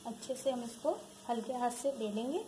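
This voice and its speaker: A woman with a speech hosting a home cooking tutorial, calmly walking viewers through each step in her kitchen. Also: fairly high pitch, moderate pace. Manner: calm, instructive, addresses viewers directly. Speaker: cooking channel host. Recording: noisy home recording with background ambience.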